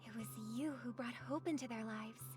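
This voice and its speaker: A woman voicing a gracious, humble young singer in an anime game, gentle and encouraging. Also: on the high side, moderate pace, over music, lightly acted.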